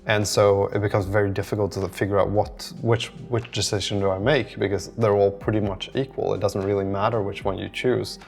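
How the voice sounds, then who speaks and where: Male vlogger, low gravelly voice, casual unscripted rambling, music underneath. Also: flat delivery, brisk, hardly any pauses. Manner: rambling, thinking aloud. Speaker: solo app developer vlogger. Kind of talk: unscripted casual vlog monologue.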